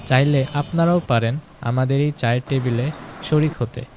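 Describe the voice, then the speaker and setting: Male narrator for home recipe storytelling, mid-pitched, recorded with clear background noise and ambient rumble. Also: moderate pace, darkish tone. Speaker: home cooking channel narrator. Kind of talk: scripted recipe storytelling voice-over.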